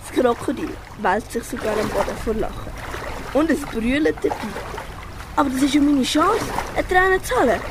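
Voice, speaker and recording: A woman's voice: high-pitched, normal speed, background noise, lightly acted. A schoolgirl with a Swiss German accent playing a helpful, resolute elephant in a children's radio play. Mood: helpful, eager. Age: child or young teen pupil. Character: helpful, resolute young female elephant Molly.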